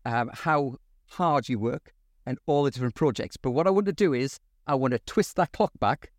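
A man presents as a podcast host and interviewer. His phrasing is formal and measured, in a medium-pitched voice. The sound is dry, with very little room around it. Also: no echo. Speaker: podcast host, university lecturer. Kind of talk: scripted introduction and interview questions.

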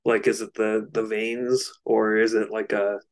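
A man with a fairly low voice talks through an album as a podcast co-host. His delivery is casual and explanatory, with some hesitant searching for the right phrase. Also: flat delivery, measured pace.

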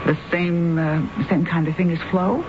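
An actress playing a concerned, puzzled wife in a radio drama, hesitant and questioning. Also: female voice, lowish voice, level tone, normal speed, noisy background, close to an everyday voice. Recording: muffled radio recording with loud background chatter.

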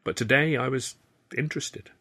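A young woman in an audiobook story, voiced by a male narrator, speaks briskly and earnestly. She is chatty yet guarded, with a firm edge to her words. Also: medium pitch, bright, forceful tone, pitched above the performer's own voice.

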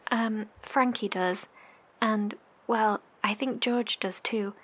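An actress in an audio horror drama playing a worried, caring survivor broadcaster, hesitant, gentle and breathy. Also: female voice, medium pitch, steady tone, slow pace. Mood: concerned.

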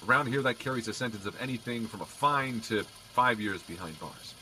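An actor playing a teasing, casually skeptical police officer in an audio drama, dry and conversational over car engine rumble. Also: male voice, a little fast, pushed and bright. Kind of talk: naturalistic audio drama acting.

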